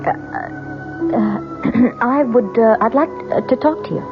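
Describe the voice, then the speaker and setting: An actress playing a desperate, hesitant married woman in a radio drama, earnest and halting, with a pleading manner. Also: a little high. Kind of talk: 1970s radio drama acting. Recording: dull lo-fi radio drama, music behind.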